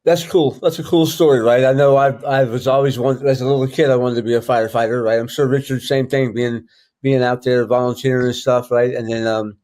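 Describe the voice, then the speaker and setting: A man's voice: mid-range voice, steady tone, brisk, darkish tone. Chatty male podcast host. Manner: chatty, loose, thinks aloud with many ums. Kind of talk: casual podcast interview.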